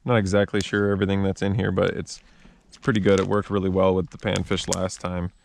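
A man in his thirties talks viewers through camp cooking in a relaxed, chatty way, his voice low with a gravelly edge. He's outdoors at a wooded campsite, filmed on a wearable action camera, with a faint hiss of outdoor noise behind him. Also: a little fast. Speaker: fishing and camping vlogger. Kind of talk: casual how-to narration to camera.

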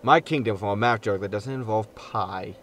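A man's voice: fairly low pitch, moderate pace, natural voice. A man playing a grumpy, impulsive spaceship captain in a sci-fi sitcom audio drama, blunt and exasperated. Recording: loud background noise.

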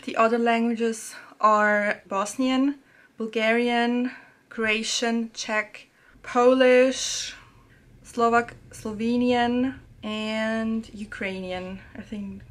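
A young woman talking casually and chattily from a small room at home, reviewing a language app and filling her sentences with little fillers. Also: fairly high pitch. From Serbia. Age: young adult. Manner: chatty, relaxed, many fillers. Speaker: language learning YouTuber.

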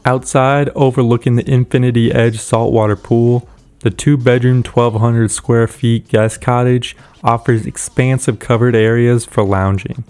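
A man with a smooth, resonant voice reads a polished script at an even, measured pace. His pitch sits in the ordinary middle range for a man. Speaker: narrator. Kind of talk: scripted narration.

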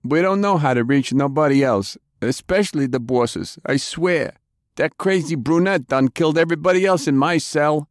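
A man voicing a frightened, fanatical male henchman with a high, whiny, desperate manner. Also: moderate pace, dry recording, studio quality, close to an everyday voice. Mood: pleading.

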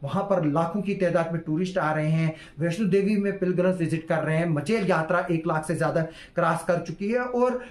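A man's voice: on the high side, fairly quick, hardly any pauses. A man, giving solo news commentary to camera with many hesitant fillers. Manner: hesitant, heavy fillers, earnest. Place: small indoor room with curtain backdrop. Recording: echoey room.

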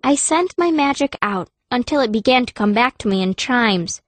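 A woman's voice: a little high, expressive, moderate pace, close to an everyday voice. A woman voicing a fierce, cunning young girl mage who narrates her own story as an audiobook, with long reverb. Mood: tense storytelling. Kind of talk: first-person audiobook narration.

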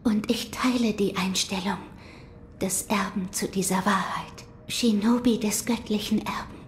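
An actress playing a gentle, mystical shrine maiden in an audio drama, speaking in a solemn, measured, hushed manner. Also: female voice, mid-range voice, steady tone, a little hiss or hum, natural voice.

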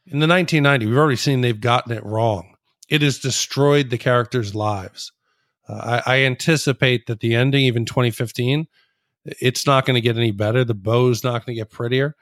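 A man's voice: mid-range voice, no echo, studio quality. A man hosting a podcast, giving his opinions in casual, conversational talk. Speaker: podcast host. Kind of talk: casual podcast review discussion.

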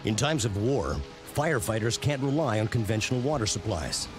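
A man reading scripted narration for a documentary series in a measured, punchy way. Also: lowish voice, expressive. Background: prominent background music.

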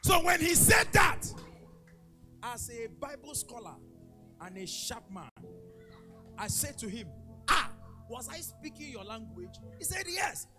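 A man with a Ghanaian English accent preaching a sermon, telling a story with acted dialogue and breaking into shouts. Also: very high-pitched, very animated, a little slow, long pauses, music in the background. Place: church service.

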